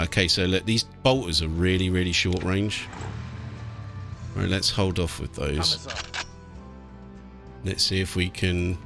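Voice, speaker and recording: A British man giving casual, chatty let's play commentary on a strategy game, thinking aloud as he goes. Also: deep voice. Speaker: gaming channel host. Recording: game music and battle sounds behind. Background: video game music, artillery and vehicle sounds.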